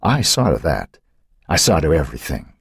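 A man's voice: very deep voice, huge pitch swings, normal speed, husky, no room sound, studio quality, heavily acted. Man voicing a cold, taunting woman alter ego. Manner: taunting, sly, icy. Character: cold, mocking woman, a hidden second self.

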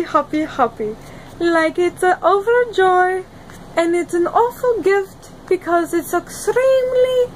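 Young woman vlogger, high and unhurried, dreamy and giggly, filmed on a phone in a small room. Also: slight background noise, poor audio. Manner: dreamy, giggly, drifting between loud bursts and soft murmurs. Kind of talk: rambling monologue to camera. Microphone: phone camera at arm's length.

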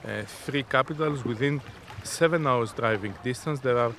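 A man in his fifties answering interview questions in a halting, deliberate, unscripted way. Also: mid-range voice, fairly bright tone. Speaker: interviewee, innovation zone representative. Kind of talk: spoken interview, unscripted explanation. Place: outdoors on a sea beach. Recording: outdoor seaside background noise.

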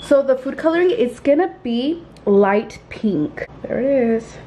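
Casting: young female home baking vlogger, fairly high voice, informal and chatty, clearly noisy kitchen recording. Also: animated, a little slow, dark tone. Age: young adult. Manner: informal, chatty, occasionally crude. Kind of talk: casual instructional chat to viewers. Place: small home kitchen, indoors.

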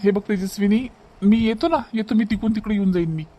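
A man's voice: sits high, quick pace, warm tone, dull audio. A man voicing a breezy young married son in a cartoon family comedy, insistent and reassuring, with a Vidarbha Marathi accent.

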